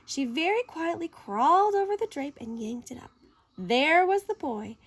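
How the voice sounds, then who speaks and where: A woman reading a children's picture book aloud in an expressive, deliberate, dramatic way. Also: high voice. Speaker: story reader.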